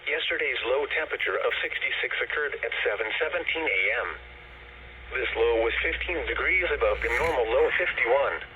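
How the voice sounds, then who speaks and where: A man reading a scripted weather report in a formal, measured, synthetic announcer voice over the radio. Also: mid-range voice. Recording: noisy, tinny, band-limited weather radio broadcast.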